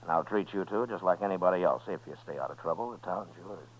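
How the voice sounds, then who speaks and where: A man playing a calm, steely American Old West marshal in a 1950s radio western, speaking in a laconic, measured way. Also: low voice, husky. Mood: dryly cool. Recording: dull vintage radio recording.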